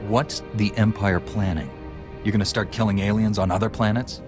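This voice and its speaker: A man playing a principled Jedi knight in a video game, firm, measured and formal. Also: lowish voice, steady tone, natural voice. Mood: firm, questioning. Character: principled, resolute male Jedi knight hero. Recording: music behind the voice.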